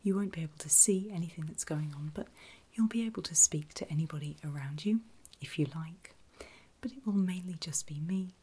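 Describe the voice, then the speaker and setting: A woman whispering in a breathy, gentle and reassuring way, unhurried, as a nurse in an ASMR medical roleplay. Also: deep voice. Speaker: ASMR performer playing a surgical nurse.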